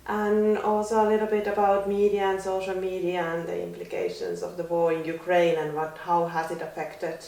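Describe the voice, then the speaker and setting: Gentle woman interviewer in an echoey room. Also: fairly low pitch, flat delivery, normal speed, hardly any pauses. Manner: gentle, filler-heavy questioning. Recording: echoey room, mic at a distance.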